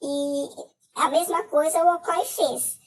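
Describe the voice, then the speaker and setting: A middle-aged Brazilian woman with a Brazilian Portuguese accent gives a personal testimony as a scam victim, talking haltingly with many filler hesitations. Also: high-pitched, fairly even intonation, far from the mic, rough recording.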